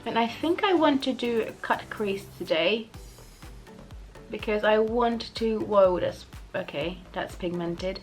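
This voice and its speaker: A young woman with a slightly croaky voice after the flu, chatting casually through a makeup tutorial and often correcting herself. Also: medium pitch, expressive, slow pace, faint music behind. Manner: chatty, self-correcting, giggly asides. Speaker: beauty vlogger.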